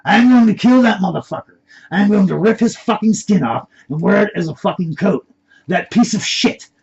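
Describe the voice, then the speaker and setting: Man acting a loud, hot-headed masked thug, husky. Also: high voice, animated, moderate pace, pitched above the performer's own voice, heavily acted. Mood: furious. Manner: loud, profane, taunting. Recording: dull lo-fi recording.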